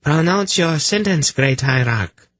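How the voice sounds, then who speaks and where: A man's voice: a little high, expressive, unhurried, fairly bright tone, no echo, pitched above the performer's own voice. A man voicing a cruel, imperious priestess with a throaty purr in a fantasy audiobook. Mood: eager persuasion. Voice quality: throaty, almost purring. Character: cruel, scheming priestess, imperious and smugly menacing.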